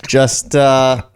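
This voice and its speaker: A man's voice: fairly high pitch, background noise. A man hosting a comedy podcast, talking casually and matter-of-factly. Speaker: podcast host. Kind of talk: casual comedic chat, reading script aloud.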